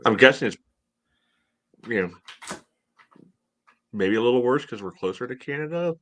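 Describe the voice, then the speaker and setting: A man's voice: medium pitch, expressive, normal speed. A middle-aged American man casually analysing sports as a podcast co-host on a video call. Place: room at home, indoors. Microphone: video call from a computer.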